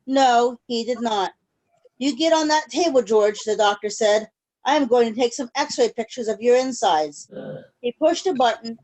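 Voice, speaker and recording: A woman with a high voice reads a storybook aloud to small children. She speaks as a storyteller, moving between the text and simple questions to her young listeners, over a video call. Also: darkish tone, low-quality recording. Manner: pauses to check in with young listeners.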